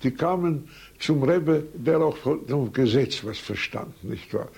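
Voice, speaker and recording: A man's voice: mid-range voice, animated, a little slow, gravelly, darkish tone, husky. An older man from Switzerland whose first language is French, telling his life story in a recorded interview, rambling and anecdotal with frequent hesitations and self-corrections. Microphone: recorded on tape.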